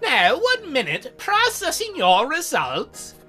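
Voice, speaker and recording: A very high, bright woman's take on a young demon girl from a visual novel game. She is cutesy and polite as she makes her sales pitch, with a sulky, lonely edge. Music plays behind her. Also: wildly swooping pitch, takes it slowly, heavily acted. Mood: perky. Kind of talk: visual novel character read aloud.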